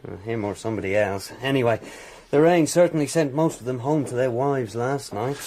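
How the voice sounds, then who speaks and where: Man acting a genial police sergeant, medium pitch, droll, easygoing, deferential, radio drama. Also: brisk, mellow, slight background noise, lightly acted. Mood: droll, easy.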